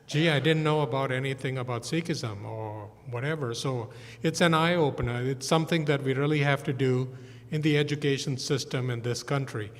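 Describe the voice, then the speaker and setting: A man from Malaysia and Singapore speaking earnestly and emphatically as a panelist in a discussion. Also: a little high. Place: church sanctuary. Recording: long church-hall reverb.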